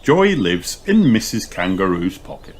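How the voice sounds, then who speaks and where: A man reading a children's picture book aloud in a slow, sing-song storytelling manner. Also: fairly low pitch, big pitch swings, slight background noise. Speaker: storybook reader. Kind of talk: children's read-aloud narration.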